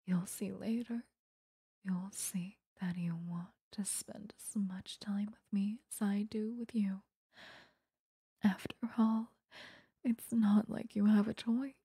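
Obsessive vampire seductress acted by a woman for intimate ASMR roleplay: very breathy whisper, dark and soft, menacingly possessive. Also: medium pitch, dry recording, clean studio sound, heavily acted. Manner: slow, coaxing, intimate. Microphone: close microphone.

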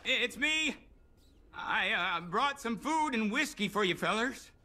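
An actor playing a timid, frightened frontier doctor, hesitant and nervous, in a video game. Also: male voice, extremely high pitch, lively intonation, a little slow, over music. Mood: nervous pleading. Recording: loud background noise.

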